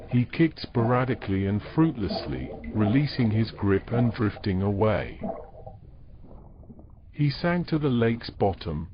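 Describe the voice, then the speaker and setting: A man's voice: medium pitch, lo-fi sound, background noise. A man narrating a scripted fantasy story in a dramatic storytelling style, close on the microphone.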